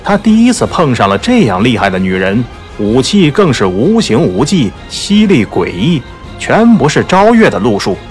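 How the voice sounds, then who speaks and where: A man's voice: medium pitch, wildly swooping pitch, normal speed, soft background music. A man narrating a martial arts novel as a dramatic audiobook.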